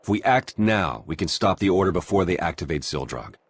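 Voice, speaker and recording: An actor playing a gritty, determined secret agent in a video game, husky and earnest. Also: male voice, low voice, expressive, fairly quick, dry recording. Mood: grim resolve.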